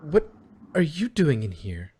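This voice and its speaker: A performer reads as a young pony princess in a fanfiction story, weary and skeptical. The delivery is dry and exasperated, with very lively pitch movement and a husky tone. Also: a little slow, heavily acted. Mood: startled, exasperated. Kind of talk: character voice in a fanfiction reading.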